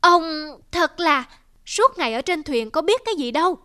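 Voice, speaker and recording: High-pitched, bossy old wife. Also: female voice, very animated, moderate pace, pushed and bright, full character voice. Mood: scolding. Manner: emphatic, nagging. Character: old fisherman's wife, practical, bossy and scolding. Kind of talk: cartoon folk tale dialogue. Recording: music under the voice.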